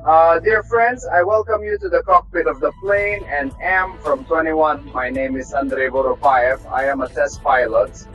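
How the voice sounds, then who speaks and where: A middle-aged man, a test pilot from Russia, giving a measured, technical tour of an aircraft cockpit. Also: a little high, fairly even intonation, a bit bright. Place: aircraft cockpit inside a hangar. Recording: slightly dull sound, faint music behind.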